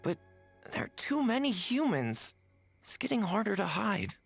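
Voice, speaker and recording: A woman voicing a timid, gloomy tanuki yokai in a video game, anxious and weary, with a creaky voice. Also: medium pitch, very animated, normal speed, soft background music.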